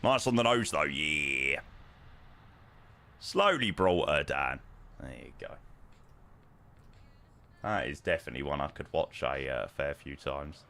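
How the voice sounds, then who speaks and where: A man with a hoarse, croaky voice, chatting casually to his stream audience and rambling with lots of ums. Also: rumbling low, lively intonation, measured pace, long pauses, sharp tone. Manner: rambling, self-interrupting, frequent ums. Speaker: flight sim streamer.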